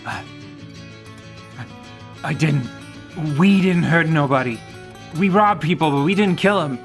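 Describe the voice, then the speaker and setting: A man voicing a desperate bandit leader who stammers, yells and begs in a radio drama. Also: a little high, measured pace, faint music behind. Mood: pleading. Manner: frantic, stammering and yelling. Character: desperate male bandit leader, panicked and pleading.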